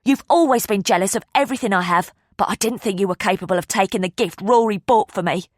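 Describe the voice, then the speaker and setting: A woman's voice: very animated, fairly quick, fairly bright tone, no echo, studio quality. A woman voicing a furious, spiteful young woman who snaps and sneers at her sister. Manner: shrill, snapping, contemptuous.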